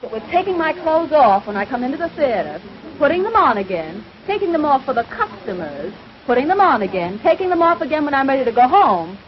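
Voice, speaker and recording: An actress speaking in a 1930s film, in old-fashioned screen acting. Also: female voice, high-pitched, very animated, a little fast. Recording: dull, hissy 1930s film soundtrack.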